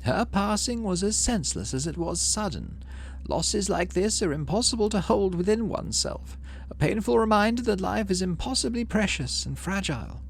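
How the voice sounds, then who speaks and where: A man voicing a solemn female colony governor in a game, speaking a funeral eulogy in slow, measured, grave oratory. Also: high voice, gravelly, a little hiss or hum, voice pushed up, full character voice.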